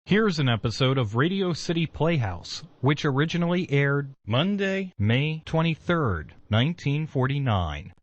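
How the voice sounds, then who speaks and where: A man's voice: a little high, very animated, measured pace, hardly any pauses, dry recording, dull audio. An American man reading a scripted radio station announcement in a polished announcer manner.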